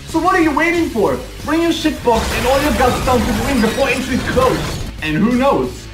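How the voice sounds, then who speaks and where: An echoey, distant recording of a man acting a brash male racing promoter over music. His pitch runs high and swings widely, selling hard in a cheesy, bombastic game-cutscene manner. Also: animated, moderate pace. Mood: rousing call.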